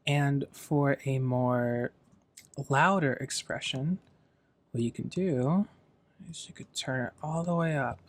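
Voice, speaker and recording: A man hosting a software tutorial in a casual, loosely explanatory, informal way. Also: mid-range voice, takes it slowly. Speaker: tutorial host.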